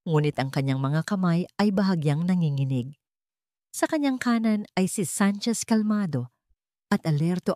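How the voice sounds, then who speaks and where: Low-voiced Filipino woman narrating, dry sound. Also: mellow, no room sound. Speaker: storyteller. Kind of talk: scripted dramatic audiobook narration.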